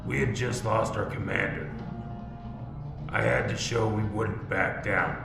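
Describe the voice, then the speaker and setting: A man's voice: on the low side, steady tone, measured pace, creaky. A man playing a battle-hardened, grim and unyielding first sergeant in a military sci-fi audio drama, terse and commanding. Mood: somber reflection. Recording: music bed behind the voice.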